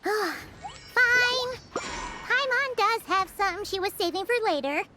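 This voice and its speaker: A woman voicing a tiny, chirpy fairy girl companion with a squeaky, childlike voice in an anime game. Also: expressive, slow, loud music behind the voice, full character voice. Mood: grudging. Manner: refers to self in third person, exclamatory.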